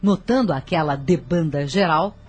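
A woman narrator of a scripted radio drama, husky and dramatic, telling the story with a moralizing turn. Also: sits low, moderate pace, faint music behind.

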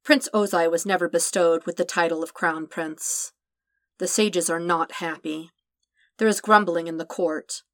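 A woman reading fan fiction aloud as a podfic, in a calm, measured narration. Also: fairly low pitch, dry recording. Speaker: podfic reader.

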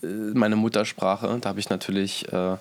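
Berlin musician in his thirties, slightly low voice, loose slangy chat. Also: normal speed. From Berlin, Germany. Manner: relaxed, slangy, rambling. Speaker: musician and producer. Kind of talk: casual interview chat.

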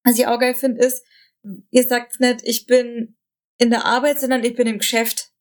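A woman's voice: on the high side, flat delivery, moderate pace, a little mellow. A young woman chatting casually as a podcast co-host, giggly and fond of telling anecdotes. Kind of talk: casual chat.

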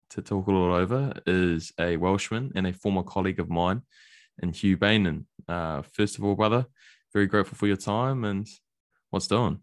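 A man's voice: sits low, dry recording, clean studio sound. A man hosting a casual interview show and mixing in Māori words. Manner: relaxed, uses Māori greetings and words. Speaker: podcast host.

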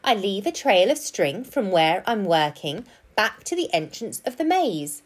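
A woman voicing a kindly, patient male park keeper in a children's story, explaining things gently. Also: medium pitch, very animated, unhurried.

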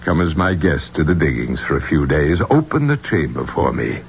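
An actor playing an obsessed, superstitious gentleman archaeologist in a 1970s radio drama, with a grandiloquent, courtly way of speaking. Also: very deep voice, big pitch swings, moderate pace, creaky, exaggerated voice. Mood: earnest, pleading. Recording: dull, noisy 1970s radio recording.

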